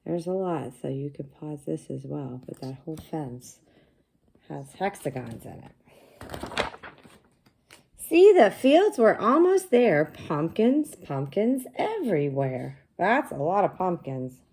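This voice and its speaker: A woman with a low, soft-edged, mellow voice reads a children's book aloud at a slow pace. She teaches as she goes, explaining in simple words and putting questions to her young listeners. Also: very animated, gravelly, husky.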